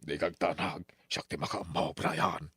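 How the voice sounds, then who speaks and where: A man's voice: low voice, steady tone, a little slow, a bit bright, breathy edge, no echo, exaggerated voice. A man playing a stern, money-minded older father in a radio drama, with an Ilocano accent and emphatic delivery. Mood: deferential.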